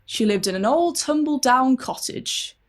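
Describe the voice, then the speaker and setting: A woman storyteller narrates a scripted tale with dramatic flair. Her voice sits fairly high and moves through a wide, lively range of pitch. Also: unhurried.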